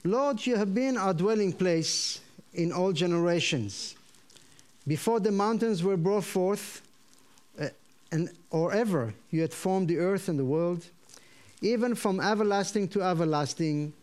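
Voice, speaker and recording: This is a man with an Israeli accent, a native Hebrew speaker, giving a sermon. His voice sits high and lifts when he teaches the Hebrew words to the room. He hesitates often with fillers. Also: unhurried. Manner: hesitant with many fillers, then animated teaching. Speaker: visiting pastor. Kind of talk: sermon to a congregation.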